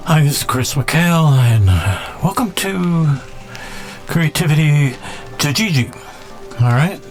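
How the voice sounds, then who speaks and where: A man hosting a podcast in a reflective, unscripted monologue, speaking haltingly and musingly and repeating words for emphasis. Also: on the high side, expressive, faint music behind. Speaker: podcast host.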